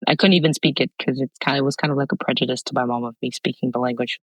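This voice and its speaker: A woman's voice: rumbling low, steady tone, very fast, no room sound, rough recording. A young woman from Haiti and Canada, a podcast guest, talking about her background in a casual, rambling way. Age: young adult. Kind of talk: casual interview talk.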